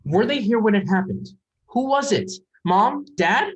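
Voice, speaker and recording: A flustered young psychologist, performed by an actor in a script reading. The voice is very rough and breathy, moving widely in pitch. Speech is halting and defensive, caught on a slightly muffled recording. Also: sing-song. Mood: probing.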